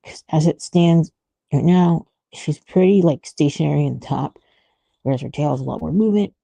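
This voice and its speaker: Woman, very mellow dark tone, gravelly fry, casual art-stream commentary, musing, trailing phrases. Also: low voice, normal speed, no room sound. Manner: musing, trailing off mid-thought. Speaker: artist streamer thinking aloud while drawing.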